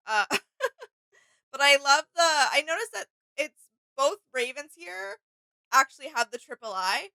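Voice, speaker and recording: A woman's voice: sits high, big pitch swings, unhurried, gravelly, pauses often, pushed and bright, no room sound, poor audio. A woman co-hosting a reaction video in a casual, agreeable chat style.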